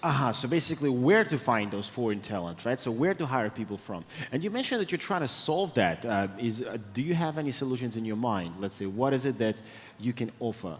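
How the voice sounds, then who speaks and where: A man's voice: mid-range voice, lively intonation, a little fast. Male panel moderator in a hall. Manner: probing, rephrases his questions. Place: large event hall stage. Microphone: headset microphone. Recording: reverberant hall sound.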